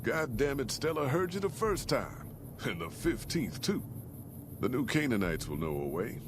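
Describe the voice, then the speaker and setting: An older man with an American Western drawl, acting a gruff, plainspoken old caravan leader in a video game. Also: mid-range voice, sing-song, moderate pace, noisy background, close to an everyday voice. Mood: exasperated, urgent. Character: gruff folksy old caravan leader, weathered male storyteller. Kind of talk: video game character dialogue and narration.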